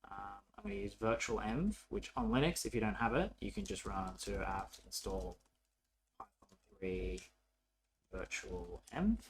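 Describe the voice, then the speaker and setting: A man's voice: lowish voice, sing-song, unhurried, noisy background. A man narrating a casual coding tutorial as an instructor, informal and thinking aloud as he works.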